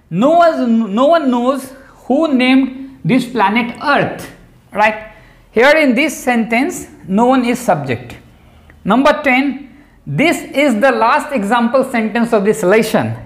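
A middle-aged man teaching a grammar lesson at a whiteboard, patiently checking in with "right?" as he explains. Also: very high-pitched. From India. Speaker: English teacher. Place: room in front of a whiteboard. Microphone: lapel. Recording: echoey room.

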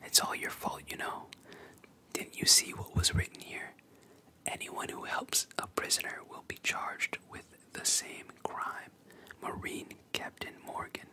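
A storyteller voicing a spoiled, bullying young captain's son in a breathy whisper, as in a manga retelling. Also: unhurried, bright, forceful tone, low-quality recording. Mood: scornful. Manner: bullying, boastful.

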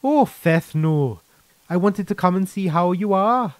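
A man's voice: high voice, expressive, measured pace, no echo, voice pushed up. A man voicing a chatty, frank, easygoing veteran soldier in a sci-fi story, with colloquial, mildly profane, persuasive talk. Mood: friendly, reassuring.

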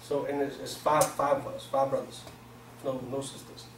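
A man's voice: mid-range voice, little pitch movement, normal speed. A man in his forties from Pakistan, a non-native English speaker, giving an informal motivational talk to a small group in colloquial, streetwise phrasing. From Pakistan, grew up in Virginia, US. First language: not English. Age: 40s. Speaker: seminar speaker. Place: small indoor conference room. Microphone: far camera microphone. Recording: echoey room, distant camera mic, noisy.

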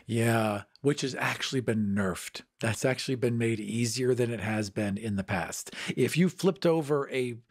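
A man's voice: medium pitch, normal speed, few pauses, breathy edge. A man chatting casually and emphatically about tabletop game lore as a podcast panelist.

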